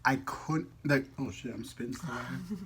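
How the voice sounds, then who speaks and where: A man's voice: mid-range voice, normal speed, a bit bright, a little hiss or hum. A man chatting casually and playfully in a food vlog, rambling a little as he talks while eating. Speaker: food vlogger. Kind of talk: casual mukbang chat.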